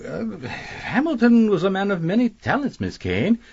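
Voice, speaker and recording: Husky, guarded gentleman schemer. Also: high-pitched, wildly swooping pitch, lo-fi sound, exaggerated voice. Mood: guarded politeness. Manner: formal, measured, clipped politeness. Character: outwardly polite, scheming male publisher and murderer, guarded. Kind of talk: audio drama acting, period mystery.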